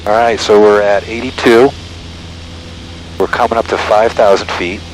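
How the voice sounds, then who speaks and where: Male pilot, low register, casual self-narrating chat on headset in a noisy small plane cabin. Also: normal speed. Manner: talks self through tasks. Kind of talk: casual cockpit chat, narrating own flying. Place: small propeller plane cockpit in flight. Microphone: aviation headset. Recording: loud steady aircraft cabin noise.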